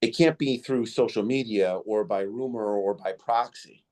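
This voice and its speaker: Male podcast host with Indo-Greek as first language, slightly low voice, loose, filler-heavy storytelling. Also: moderate pace, darkish tone. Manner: rambling, many "you know" fillers, restarts. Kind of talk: casual interview storytelling.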